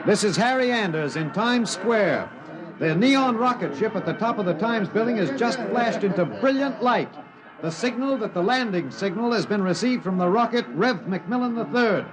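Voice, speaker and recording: A man playing an excited, obsessed radio reporter with an American accent, stammering and emphatic in 1950s radio drama style. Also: high voice, normal speed, music in the background. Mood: excited, breathless. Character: excited radio newsman, agitated, obsessed with breaking a cover-up. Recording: dull vintage radio recording.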